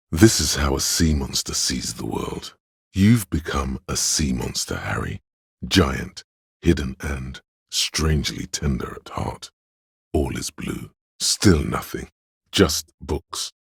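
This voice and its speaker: A very deep, gravelly, pompous aesthete. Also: male voice, no echo, studio quality, exaggerated voice. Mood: musing, teasing. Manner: pompous, literary, deliberate. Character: pretentious inner voice of an art-loving detective, grandiose and wry. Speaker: game narrator voicing a character's thoughts. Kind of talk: theatrical second-person game narration.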